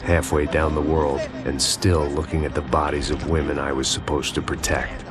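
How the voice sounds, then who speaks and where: An actor playing a weary, hard-drinking former cop who narrates in a bleak, sardonic way for a video game cutscene. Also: male voice, rumbling low, level tone, moderate pace, music in the background. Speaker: noir narrator and lead character. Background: loud cinematic score.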